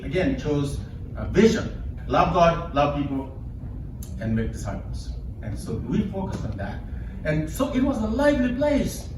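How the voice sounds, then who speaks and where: Kenyan man speaking in an echoey room. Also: fairly high pitch. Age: middle-aged. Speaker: public speaker, leader of an organization. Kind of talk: live speech to an audience, personal storytelling. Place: indoor event before an audience. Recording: echoey room, distant mic, background noise.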